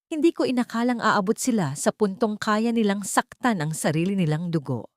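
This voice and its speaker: A woman narrator plays an old tycoon father in an audio drama. He is solemn and deliberate, full of regret, with a cold, vengeful edge. The sound is dry and close. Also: mid-range voice, expressive, no echo, lightly acted. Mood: regretful. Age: older. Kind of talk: dramatic audio story dialogue.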